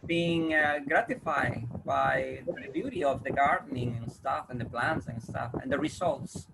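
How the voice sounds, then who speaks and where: A man's voice: mid-range voice, unhurried, vocal fry. Host, man from Ecuador, eager, noisy call. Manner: enthusiastic, affirming interjections. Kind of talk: casual interview chat. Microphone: video call.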